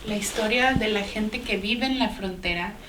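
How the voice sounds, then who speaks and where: A woman's voice: mid-range voice, moderate pace. A woman interviewer, heard from some distance from the microphone in a roomy space with faint background noise. She often affirms the guest with short sounds of agreement between her questions. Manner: warm, frequent backchannel affirmations. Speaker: interviewer host. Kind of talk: interview conversation.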